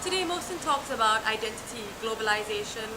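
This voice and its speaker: A young woman from Singapore hosts an interview and asks her questions in a friendly, brisk way. Her voice is fairly high, and she talks fast. She is picked up from a distance in a roomy space, with loud traffic noise underneath. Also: lively intonation. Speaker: host. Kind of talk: interview hosting. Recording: roomy, distant mic with loud background hum.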